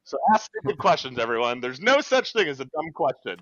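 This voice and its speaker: A man's voice: mid-range voice, very animated, brisk, fairly bright tone, no room sound, muffled recording. A man who works as a strength coach, chatting on a podcast, joking, laughing and swearing casually.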